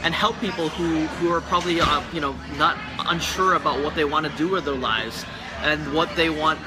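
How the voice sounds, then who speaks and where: A man's voice: a little high, moderate pace, rough recording. A young man with a Filipino accent, a guest in a casual livestream chat, talking earnestly and rambling with many restarts. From Philippines. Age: young adult. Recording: background music.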